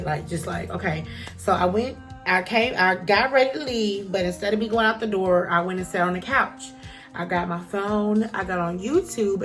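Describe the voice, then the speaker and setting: A woman's voice: fairly low pitch, a bit bright. A woman talking casually to camera as a vlogger, chatty and encouraging, with a stuffy nose.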